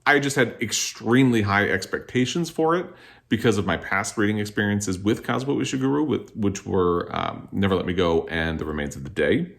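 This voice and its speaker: Low-voiced man, casual book vlogger. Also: fairly quick, gravelly, fairly bright tone. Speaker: book vlogger, host of the video. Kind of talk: casual book review vlog to camera.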